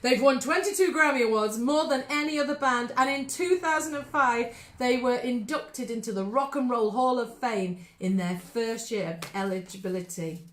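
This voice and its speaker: A woman's voice: on the high side, normal speed, hardly any pauses. Chatty English woman in an echoey room. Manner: chatty, laughs often, reads facts aloud. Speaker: singing teacher and host. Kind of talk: casual chat.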